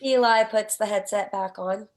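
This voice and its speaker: A woman's voice: medium pitch, steady tone, moderate pace. A woman narrating a screenplay's stage directions in a scripted read.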